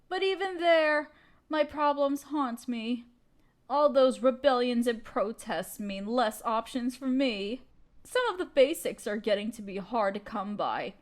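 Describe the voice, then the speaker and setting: A woman playing a spoiled, haughty evil queen in a scripted audio roleplay, complaining pompously and theatrically to her servant. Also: sits high. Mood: petulant, self-pitying. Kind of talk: scripted roleplay monologue to the listener.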